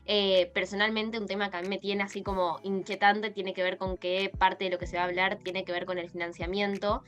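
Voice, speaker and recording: A young woman with an Argentine accent, hosting an interview and asking thoughtful questions between pauses. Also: mid-range voice, flat delivery. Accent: Argentine Spanish. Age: young adult. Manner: thoughtful, warmly questioning with fillers. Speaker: host. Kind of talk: conversational interview.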